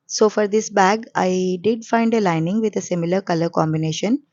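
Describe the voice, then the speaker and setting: A woman hosting a sewing channel, explaining each step calmly in a voice-over, her voice coarse and hoarse from a cold. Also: mid-range voice, normal speed, no echo, dull audio.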